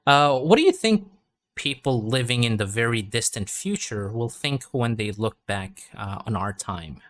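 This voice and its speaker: A man's voice: mid-range voice, normal speed. Chatty male English tutor. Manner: relaxed, chatty, often restarts words. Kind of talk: conversational English lesson.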